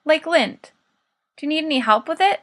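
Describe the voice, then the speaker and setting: An earnest, slightly naive teenage boy, put on by a woman reader in an audiobook. He is hesitant and doubtful, with a bright, raised pitch. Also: expressive, moderate pace. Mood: puzzled concern. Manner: doubtful, tentative. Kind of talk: fan fiction audiobook character.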